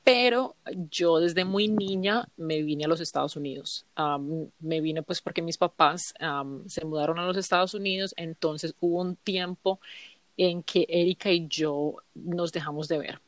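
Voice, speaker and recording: A woman's voice: fairly low pitch, unhurried, slight background noise. A woman in her thirties with a Colombian accent, co-hosting a podcast in a chatty, informal, conversational way. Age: 30s. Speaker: podcast co-host. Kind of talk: casual conversational podcast intro.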